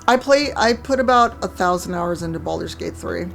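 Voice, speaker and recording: Hoarse woman streamer chatting casually. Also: fairly low pitch, animated, moderate pace, fairly bright tone, soft background music. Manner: chatty, wry, reads chat aloud. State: hoarse, croaky voice. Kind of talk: casual live-stream chat while gaming.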